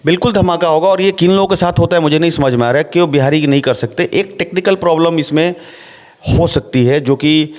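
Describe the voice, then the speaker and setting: Middle-aged actor explaining at length. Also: male voice, on the high side, fast talker, darkish tone. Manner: explains at length with rhetorical questions. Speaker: actor, interview guest. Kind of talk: relaxed interview talk.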